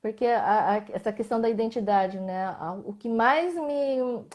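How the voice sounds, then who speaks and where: A woman with a Brazilian Portuguese accent, a visual artist speaking as a guest in a live online interview, reflective, often tagging her sentences with questions and letting them trail off softly. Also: on the high side, moderate pace.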